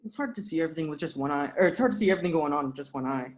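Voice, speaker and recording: A woman's voice: low-pitched, lively intonation, racing. A woman voicing a goofy, oblivious young man with a nonchalant, rambling manner, reading from a script. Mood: suggestive. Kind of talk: script read-through.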